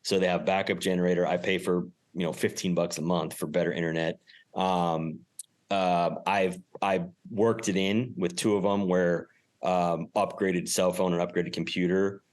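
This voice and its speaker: A man with a deep voice talks as a podcast guest. His delivery is casual and emphatic, with informal phrasing, like a business owner speaking plainly in an interview. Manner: emphatic, informal, mild swearing.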